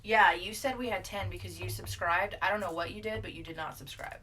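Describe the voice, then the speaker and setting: A woman's voice: fairly low pitch, fairly quick, sharp tone, slightly rough. A woman co-hosting a podcast in casual, bantering chat, with a teasing, exasperated manner. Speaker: podcast co-host.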